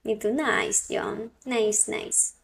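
Slow, playful young Colombian woman. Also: fairly high pitch, steady tone, creaky. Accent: Colombian coastal mixed with Santander. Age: young adult.

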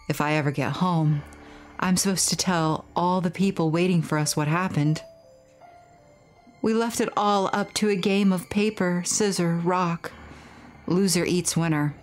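A woman voicing a starving, guilt-ridden schoolchild reading out a confession in a horror game, deliberate and sorrowful. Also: lowish voice, close to an everyday voice.

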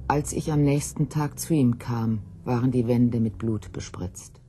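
Mellow, sober voice recalling the past. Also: mid-range voice, a little slow, faint music behind. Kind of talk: reflective personal reminiscence.

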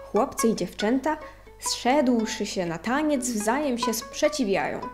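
A woman reads scripted narration in a high voice. She takes her time, measured throughout, with plucked string music clearly behind her. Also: expressive. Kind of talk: scripted narration of an old ethnographic text.

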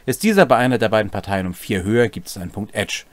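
A man's voice: fairly low pitch, lively intonation, quick pace, no room sound. A man in his forties explaining game rules to camera in an instructive way, addressing viewers directly. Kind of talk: rules explainer to camera, partly read from script. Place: small cluttered home room, indoors.

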